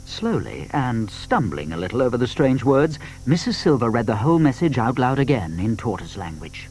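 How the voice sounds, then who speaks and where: An actor serving as narrator of a gentle children's story, reading from a script. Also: male voice, mid-range voice, big pitch swings, moderate pace, poor audio. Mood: measured. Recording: faint hiss from old cassette tape.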